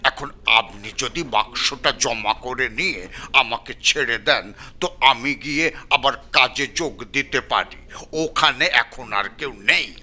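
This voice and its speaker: An actor playing a humble night-watch orderly giving an earnest, pleading report to police in an audio story. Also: male voice, mid-range voice, a little slow, sharp tone. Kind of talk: dramatic audio story acting.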